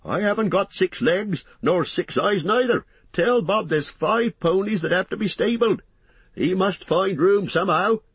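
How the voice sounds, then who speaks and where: A man's voice: high voice, unhurried, breathy edge, no echo, pitched above the performer's own voice, exaggerated voice. A man voicing a fat, harried, talkative innkeeper in an audiobook, chattering breathlessly in rustic, folksy phrases. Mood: impatient, shouting. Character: fat, bustling, harried, talkative middle-aged male innkeeper. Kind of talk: audiobook character voice.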